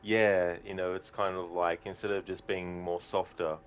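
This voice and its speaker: A man chatting casually and haltingly as he live-streams a video game, musing aloud to viewers. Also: deep voice, little pitch movement, a little hiss or hum. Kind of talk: casual chat while gaming.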